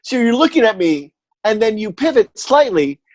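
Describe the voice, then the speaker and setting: A man chatting casually as a podcast guest and telling anecdotes in a halting, wry way, often correcting himself. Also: sits high, sing-song, a little mellow, no echo, highs cut off. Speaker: podcast guest, audio theatre producer.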